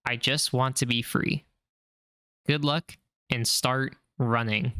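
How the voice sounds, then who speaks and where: Guy calmly reading a horror recap script, bright clean voice, dry close mic. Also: mid-range voice, clean tone, no room sound. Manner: calm, measured, explanatory. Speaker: video narrator.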